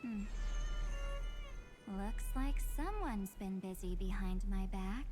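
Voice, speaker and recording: A woman's voice: medium pitch, lively intonation, takes it slowly, a little mellow, faint music behind. A woman voicing a composed, condescending female memory spirit, a taunting villain in a video game. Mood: cool, condescending.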